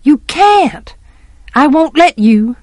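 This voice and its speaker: A woman's voice: high-pitched, big pitch swings, slow, darkish tone, dry recording, voice pushed up, exaggerated voice. A woman voicing a stubborn, anxious young Southern girl who pleads urgently, in an audiobook story. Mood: alarmed, defiant.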